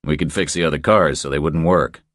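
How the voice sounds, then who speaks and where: A man voicing a laconic, calm, tough drifter hero in a thriller audiobook, terse and matter-of-fact. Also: rumbling low, brisk, dry recording, studio quality. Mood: urgent.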